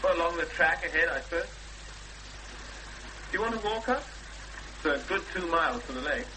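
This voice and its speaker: An actor playing a sceptical, practical English fiancé in a 1970s British radio drama, terse and wryly matter-of-fact. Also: male voice, a little high, lively intonation, fairly quick, close to an everyday voice. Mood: practical, questioning. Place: outdoors on location. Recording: noisy outdoor 1970s radio drama recording.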